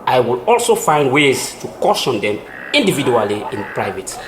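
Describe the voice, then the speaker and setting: A reassuring, devout chief, acted by a man, speaks with a Sierra Leonean accent and widely swinging pitch. It is a formal, solemn audio drama performance, recorded in an echoey room with faint noise. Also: big pitch swings, normal speed, natural voice. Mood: tender, reassuring. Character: polygamous male chief, reassuring, devout husband.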